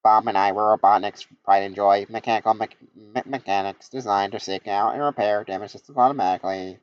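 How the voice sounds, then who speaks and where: A man's voice: fairly low pitch, steady tone, brisk, clear, resonant tone, dry recording, lightly acted. A man voicing a polite, formal male repair robot, in a dull lo-fi recording.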